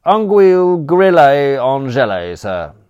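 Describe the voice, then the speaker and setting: A man's voice: medium pitch, expressive, normal speed, a little mellow, dull audio, voice pushed up, natural voice. A man voicing a brash, cheerful male butler with a cheeky, slangy way of talking. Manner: breezy, cheeky, working-class slang.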